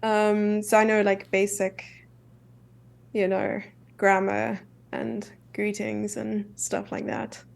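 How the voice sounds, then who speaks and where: South african woman streamer, young, casual chess commentary, a bit of vocal fry. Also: mid-range voice, flat delivery. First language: English. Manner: chatty, self-deprecating, quick asides.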